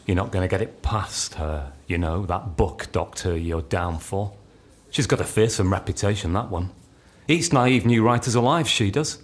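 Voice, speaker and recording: An actor playing a nosy train guard in a radio play, pointedly polite yet blunt and needling. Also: male voice, low-pitched, normal speed, natural voice. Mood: ominous needling. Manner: sing-song ticket call, pointedly polite, blunt.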